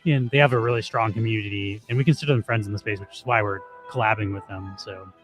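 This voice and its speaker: A hoarse man co-hosting a stream, chatting casually and rambling with lots of "like". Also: lowish voice, steady tone, quick pace, soft background music. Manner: rambling, heavy use of "like". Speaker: streamer co-host.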